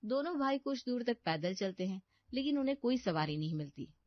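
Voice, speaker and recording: A woman's voice: a little high, animated, fairly quick, no room sound. Woman narrating a cartoon story, dry sound. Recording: dull, muffled studio voice track.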